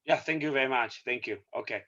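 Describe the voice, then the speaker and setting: A man whose first language is Taiwanese speaks halting English with a Taiwanese accent. His pitch sits in a middle range. The audio comes through dull and lo-fi over a video call. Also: a bit bright. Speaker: guest, sales agent.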